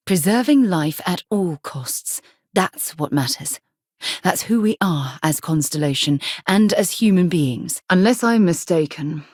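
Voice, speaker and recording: Game casting: principled female expedition leader and scientist. Low, husky register, composed and articulate, earnest delivery, dry studio sound. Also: a little mellow, dry recording. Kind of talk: video game companion dialogue.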